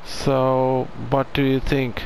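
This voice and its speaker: A man's voice: mid-range voice, monotone, slow, darkish tone, slight background noise, low-quality recording. A man with a Finnish accent, a non-native English speaker, doing casual commentary over farming gameplay and searching for his words. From Finland. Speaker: gaming channel host.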